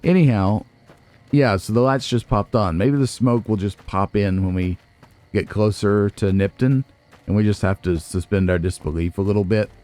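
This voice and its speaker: Male let's player, deep mellow register, casual commentary, thinks out loud. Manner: musing, thinking aloud.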